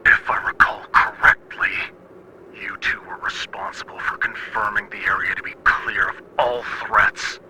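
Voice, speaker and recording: Casting: male actor as cold military commander, lowish voice, slow and condescending, heavy radio noise, horror animation. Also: steady tone. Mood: stern. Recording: very noisy radio transmission.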